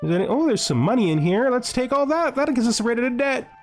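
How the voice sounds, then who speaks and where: A man's voice: very high-pitched, very animated, brisk, faint music behind. A man hosting a gaming video, thinking aloud in casual commentary and playfully gloating with in-character asides.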